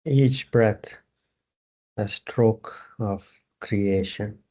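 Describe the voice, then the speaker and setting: Male yoga nidra guide: very slow delivery, dark soft-edged tone, short spaced-out instructions, dull lo-fi sound. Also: fairly low pitch. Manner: unhurried, with long pauses between short phrases. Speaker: yoga nidra meditation teacher.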